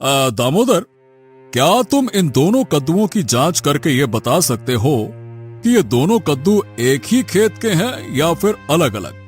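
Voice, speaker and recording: An authoritative village headman, played by a man, judges disputes in a storybook tale. The voice is a little high and moves freely up and down over soft music. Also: sing-song, measured pace. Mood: measured, questioning.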